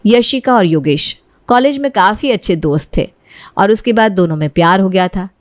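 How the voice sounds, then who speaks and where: A woman's voice: medium pitch, expressive, moderate pace, no room sound, studio quality. A woman narrating a family drama story in a scripted, dramatic storytelling style.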